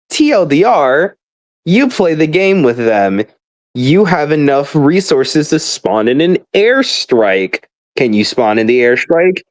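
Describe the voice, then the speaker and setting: A man casually ranting about gaming in a rambling, emphatic, exasperated way, swearing often. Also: mid-range voice. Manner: rambling, profane.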